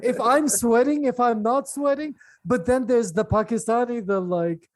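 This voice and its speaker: A man from the United States, a podcast co-host telling stories in casual chat, animated and jokey. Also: very high-pitched, moderate pace.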